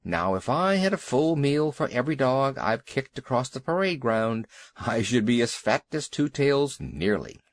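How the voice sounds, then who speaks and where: A man's voice: medium pitch, lively intonation, normal speed, dry recording, lightly acted. A man voicing a tough old army mule who talks in a blunt, scolding way. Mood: wry.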